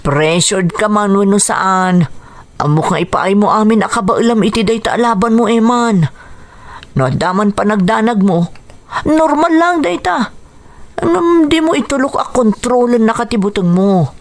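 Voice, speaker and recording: A woman's voice: mid-range voice, animated, a little slow. A woman playing a supportive, eager friend of a boxer in a radio drama, speaking emphatically and reassuringly. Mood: reassuring, urging. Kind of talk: radio drama dialogue in Ilocano.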